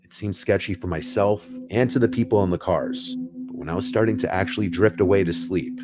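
Very deep-voiced male horror narrator. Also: brisk. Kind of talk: scripted first-person scary story narration. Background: music behind the voice.

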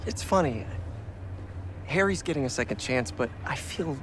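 A man's voice: mid-range voice, lively intonation, moderate pace, background noise, close to an everyday voice. A man playing an earnest, supportive young American superhero boyfriend in a video game, playful and encouraging. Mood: warm, sincere. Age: young adult. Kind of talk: video game cutscene acting, casual banter.

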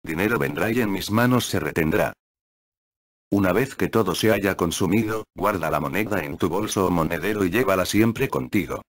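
A man calmly reading step-by-step ritual instructions as a scripted voice-over. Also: low-pitched, moderate pace, no echo. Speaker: narrator of a spell tutorial.

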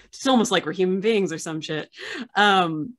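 A woman's voice: on the low side, moderate pace, a little hiss or hum. A woman in her thirties, an archivist hosting a casual interview over a video call from a small room, talking in a chatty way with many fillers and restarts. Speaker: host, archivist. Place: small room with framed pictures on wall.

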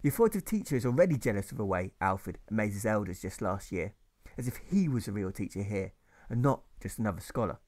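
A man reading his own rhyming novel aloud, in a measured, rhythmic narration. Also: lowish voice, animated, a little mellow.

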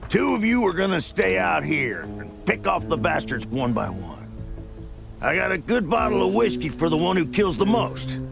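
A man playing a gruff, folksy older ranch owner in an Old West video game, gravelly and blunt. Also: high-pitched, big pitch swings, normal speed, exaggerated voice. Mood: rallying. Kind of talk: video game cutscene acting. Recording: music under the dialogue.

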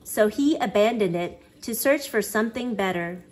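A woman's voice: medium pitch, animated, moderate pace, a little mellow. A woman reading a children's picture book aloud expressively, acting out exclamations and sound effects. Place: living room at home.

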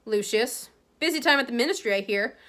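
A woman's voice: on the high side, expressive, fairly quick, fairly bright tone. A middle-aged wizard father, read by a woman, slightly raised, teasing his rival with sardonic jabs. Storybook reading.

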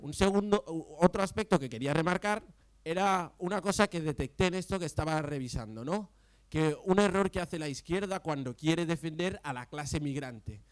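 The young man at a political rally's panel table, arguing his case in a high voice, close and dry on a handheld mic. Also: moderate pace, clean tone, no room sound. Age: young adult. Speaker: panel speaker. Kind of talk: impassioned political panel talk. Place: panel table in an event tent before an audience. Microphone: handheld mic close to the mouth.

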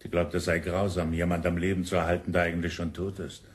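Low, sombre actor as a male relative. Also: flat delivery, quick pace, lightly acted. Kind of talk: crime radio play acting.

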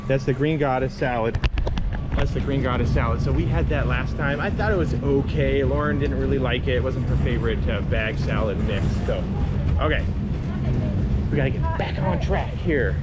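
A man's voice: mid-range voice, moderate pace, pauses often. A man in his forties with an American accent chats casually, an easygoing dad commenting on his shopping as he goes. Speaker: father vlogging a family grocery trip. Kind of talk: casual chat to camera and child. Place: large indoor warehouse store. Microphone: action camera at arm's length. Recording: noisy, busy warehouse store din.

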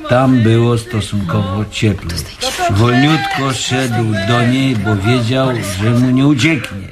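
A man's voice: medium pitch, slow, loud music behind the voice, close to an everyday voice. An actor in a radio comedy playing a man who reads pulp fiction aloud. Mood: savouring. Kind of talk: radio comedy drama acting.

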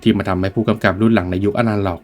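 Fast-talking man narrating in a low voice. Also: fairly even intonation, darkish tone. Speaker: video essay narrator. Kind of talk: scripted film-commentary narration.

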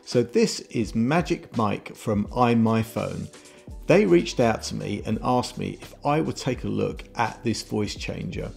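A middle-aged man with a heavily creaky, gravelly voice presents a casual tech review. He speaks close to a desk mic at an ordinary male pitch, with music playing behind him. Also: lively intonation, measured pace, a little mellow. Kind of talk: scripted casual product review. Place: home room with shelves, indoors. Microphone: desk microphone close in front. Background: background music.

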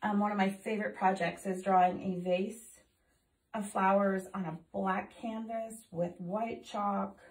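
A woman's voice: medium pitch, steady tone, unhurried. Chatty woman painting in echoey room. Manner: chatty, explains while painting, thinks aloud with ums. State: voice a bit off from recent illness. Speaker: art teacher demonstrating painting. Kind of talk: casual painting tutorial. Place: home art studio room. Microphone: phone camera overhead, speaker off to the side.

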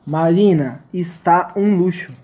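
A woman playing a gushing high-society dinner guest in an amateur radio drama, with a husky voice. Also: slow pace, dark tone. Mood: admiring.

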